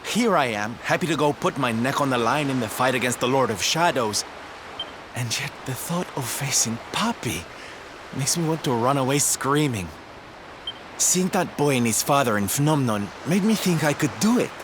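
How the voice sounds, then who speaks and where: A man's voice: medium pitch, expressive, normal speed, background noise, lightly acted. An actor playing a flamboyant, sensitive male circus performer in a video game, camp and dramatic in his delivery. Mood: nervous, confiding. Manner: camp, dramatic, sighing, coy. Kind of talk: video game character acting.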